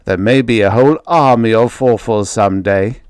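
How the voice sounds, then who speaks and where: A man's voice: lowish voice, normal speed, darkish tone, clean tone, no room sound, clean studio sound, close to an everyday voice. A man voicing a stern, proud middle-aged father in a told story, firm and matter-of-fact.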